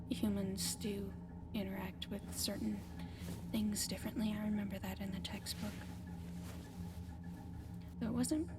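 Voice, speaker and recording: A woman playing a friendly, apologetic alien caretaker in an ASMR roleplay, speaking gently and haltingly and often slipping into whispers. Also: medium pitch, heavy background noise, close to an everyday voice. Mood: gentle, curious. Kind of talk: soft-spoken ASMR roleplay addressed to the listener.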